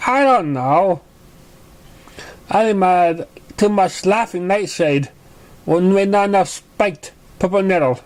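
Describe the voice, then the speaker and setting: A man's voice: high-pitched, takes it slowly, pauses often, voice pushed up. A man voicing a clueless, clumsy young filly in a cartoon story, with a sheepish, simple way of talking.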